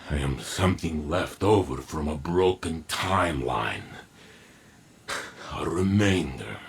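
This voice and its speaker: A man voicing a gentle, melancholy robotic warrior in a video game, speaking solemnly and haltingly as he doubts himself. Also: a bass voice, expressive, gravelly, slight background noise, heavily acted. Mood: despairing. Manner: slow, halting, solemn and formal. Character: gentle, melancholy war machine man doubting his own worth. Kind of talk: scripted video game voice acting.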